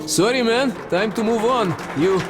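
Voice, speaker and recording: An actor playing a talkative, falsely friendly military major in a video game, with a Russian accent and expressive, chatty delivery. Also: male voice, high-pitched, normal speed, loud music behind the voice, lightly acted. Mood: jovial. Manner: chatty, expressive, peppering speech with foreign exclamations. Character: boisterous, talkative Red Line army major, falsely friendly.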